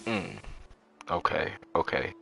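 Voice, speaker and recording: A man's voice: takes it slowly, over music. A man streaming a game live, chatting casually with slangy, profane, playful reactions. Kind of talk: casual livestream chat over gameplay.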